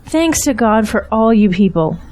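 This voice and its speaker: A woman's voice: on the high side, very animated, moderate pace, a little mellow. An actress playing a weary, candid mother facing hardship, with a resigned but grateful manner in an audio drama.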